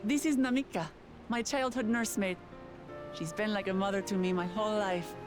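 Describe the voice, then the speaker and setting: A woman's voice: medium pitch, normal speed, over music, close to an everyday voice. A young woman voicing an earnest royal heir in a fantasy video game, sincere and resolute in manner. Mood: apologetic, fond.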